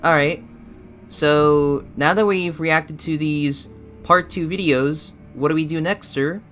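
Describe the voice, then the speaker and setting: A man's voice: a little high, steady tone, takes it slowly, fairly bright tone, faint music behind. A man voicing an eager, deferential cartoon shadow bear sidekick in hesitant, improvised banter. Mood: agreeable. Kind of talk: improvised character reaction banter.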